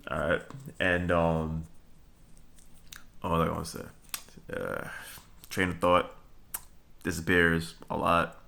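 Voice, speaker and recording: Young New man, low bright voice, rambling vlog monologue, halting with lots of "okay?"s. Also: gravelly. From Brooklyn, New York. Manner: halting, many fillers and restarts, addresses viewers with "okay?"; chuckles between points. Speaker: vlogger.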